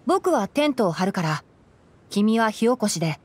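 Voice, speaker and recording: A woman playing a calm, knowledgeable young male forest ranger in an anime game, explaining things in a composed, gently teasing way. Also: medium pitch, moderate pace, slightly rough. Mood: calm, instructive. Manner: explanatory, composed, lightly dry. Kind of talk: Japanese anime game voice acting.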